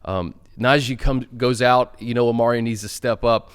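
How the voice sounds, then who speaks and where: A man's voice: medium pitch, fairly even intonation, normal speed, clean tone. A man co-hosting a sports talk show, discussing football casually and stressing his key points in a conversational way.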